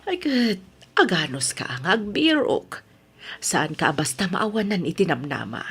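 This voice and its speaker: A woman's voice: on the low side, sing-song, a little slow, fairly bright tone, husky, exaggerated voice. An actress with an Ilocano accent playing a concerned, insistent mother of a grown son in a radio drama.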